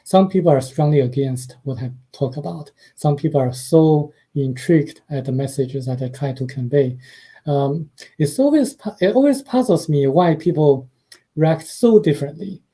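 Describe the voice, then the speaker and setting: A man's voice: fairly high pitch, normal speed, dark tone, breathy edge. A man from China with a Chinese accent, a radio interview guest speaking hesitantly with many fillers. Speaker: guest author and translator.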